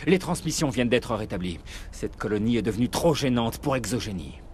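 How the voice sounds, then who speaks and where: Volatile, sneering company man with swooping pitch, acted by a man. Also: expressive, brisk, a little mellow, a little hiss or hum, lightly acted. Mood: cold, menacing. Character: ruthless, agitated male corporate official holding colonists hostage. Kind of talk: dramatic French game dub acting.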